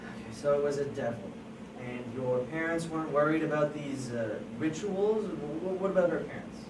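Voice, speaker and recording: A performer at an amateur table read playing an agitated police officer who presses suspects with halting, repeated questions. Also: mid-range voice, natural voice. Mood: probing, stern. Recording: distant, echoey room, noisy.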